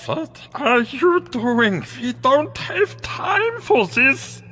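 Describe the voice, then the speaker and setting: Very high-pitched alien brat villain, swooping delivery, threatening, imperious, audio drama. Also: sing-song, drawn-out words, fairly bright tone, in a large hall, faint music behind, exaggerated voice. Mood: impatient. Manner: imperious, threatening, mixes in German words. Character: bossy menacing alien villain child, grandson of a queen, mock-German. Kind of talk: full-cast audio drama acting.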